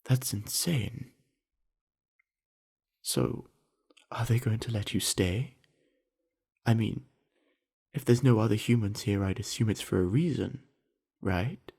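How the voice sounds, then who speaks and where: Guy doing a big friendly orc jock for asmr, deep n husky, easygoing himbo, bit flirty. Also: slow pace, pauses often, a little mellow, heavily acted. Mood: friendly, inviting. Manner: laid-back, easygoing himbo with casual slang. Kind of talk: ASMR roleplay acting, addressed to the listener.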